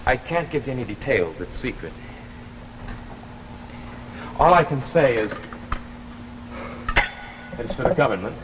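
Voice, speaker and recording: An actor playing an uneasy, apologetic man with a halting, stammering delivery in 1950s drama style. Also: mid-range voice, sing-song. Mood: guarded, earnest. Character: uneasy, apologetic husband recruited for a secret space mission. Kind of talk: 1950s television drama acting. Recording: muffled, noisy, echoey 1950s broadcast recording.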